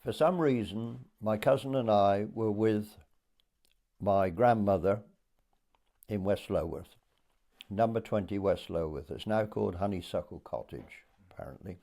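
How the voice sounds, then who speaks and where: An Englishman in his eighties from Dorset, recalling his childhood for an oral history interview in a hesitant storytelling way with pauses. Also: fairly low pitch, vocal fry, mellow. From Dorset, England. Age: 80s.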